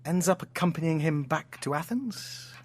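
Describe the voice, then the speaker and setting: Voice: mid-range voice, animated, normal speed, dry recording, natural voice. An actor in an audio drama playing a theatrical, playful storyteller friend with hammy flourishes and sheepish, affectionate asides. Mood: sheepish, defensive.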